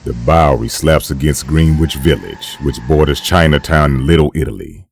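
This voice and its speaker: Man as a very deep, gravelly narrator. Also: moderate pace, a little hiss or hum. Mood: grave, measured. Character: grave omniscient narrator of a dark comic. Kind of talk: scripted dramatic comic narration.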